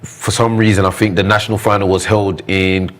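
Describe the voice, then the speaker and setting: Young British boxer with a very low voice. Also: male voice, flat delivery, brisk. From Britain. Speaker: boxer, studio guest. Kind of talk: casual interview answers.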